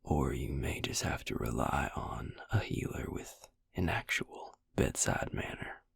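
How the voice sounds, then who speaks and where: A man voicing a gruff, caring, sardonic vampire healer in an intimate ASMR roleplay, speaking in a breathy, gravelly near-whisper. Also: a bass voice, animated, measured pace, dry recording, clean studio sound, heavily acted. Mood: weary, teasing.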